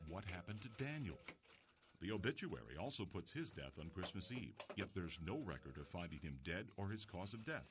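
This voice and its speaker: A man playing an earnest, inquisitive investigator in an audio drama, telling a mystery in a measured storytelling way. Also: deep voice, sing-song, background noise. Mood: earnest, probing. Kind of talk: audio drama acting with first-person narration.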